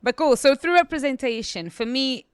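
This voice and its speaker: A woman's voice: high-pitched, moderate pace, clean tone, no room sound, clean studio sound. A young adult woman who grew up in the UK after immigrating, with English not her first language, talking confidently and emphatically as a podcast guest. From immigrant raised in the UK. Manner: confident, emphatic, repeats "you know what I mean". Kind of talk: conversational interview.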